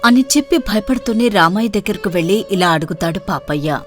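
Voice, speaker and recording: A woman's voice: mid-range voice, expressive, fairly quick, soft background music. A woman narrating a scripted moral story as its storyteller.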